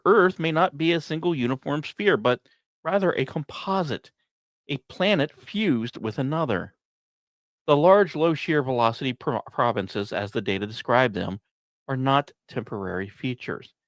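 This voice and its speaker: A man hosting a podcast, reading scripted science narration in a measured, explanatory way, recorded close. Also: medium pitch, expressive, no echo. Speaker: podcast host.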